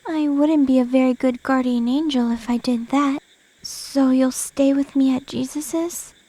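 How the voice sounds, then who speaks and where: Woman as gentle guardian angel for audio drama: high, unhurried, sweet and coy, full of affection. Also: steady tone. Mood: tender affection.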